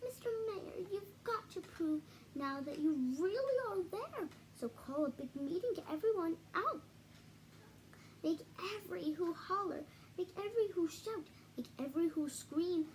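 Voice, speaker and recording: A woman's voice: very high-pitched, lively intonation, normal speed, darkish tone, pitched above the performer's own voice. A woman voicing an earnest, pleading, kindhearted male elephant from a storybook, with emphatic urgency. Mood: urgent. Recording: noisy home recording.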